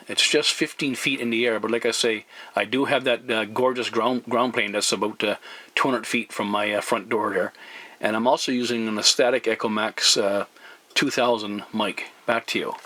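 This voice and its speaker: A man with a sharp, bright voice and medium pitch talks as a hobby radio operator. He explains things casually and haltingly, in the turn-taking manner of a CB exchange. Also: fairly even intonation. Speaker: amateur CB radio operator and video host.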